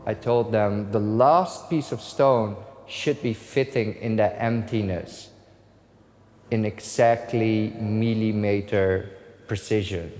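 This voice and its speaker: A man's voice: lowish voice, steady tone, takes it slowly, darkish tone. A man from the Netherlands walking through his new shop, telling its story with enthusiasm and acting out his phone calls with the artists. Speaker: store owner giving a tour. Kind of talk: casual walkthrough narration. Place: inside a large shop.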